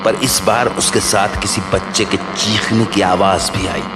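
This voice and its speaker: A man narrates a horror story in a dramatic, scripted style. His low voice carries a long reverb and sits over prominent music. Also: fairly even intonation, measured pace.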